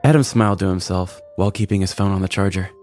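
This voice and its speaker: A man's voice: low-pitched, fast talker, darkish tone, soft background music. A man reading a scripted comic story as a podcast storyteller.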